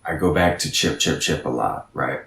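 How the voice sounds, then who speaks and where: 30s guy, really deep voice, earnest motivational talk, sounds a bit far from the mic. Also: animated, moderate pace, creaky, a bit bright, echoey room. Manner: earnest, reflective, repeats phrases as he goes. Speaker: podcast guest.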